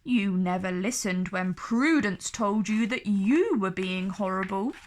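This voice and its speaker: A woman voicing a big, hungry male dragon in a children's storybook, speaking in a polite, measured, pompous and theatrical way. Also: medium pitch, darkish tone, natural voice. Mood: stern.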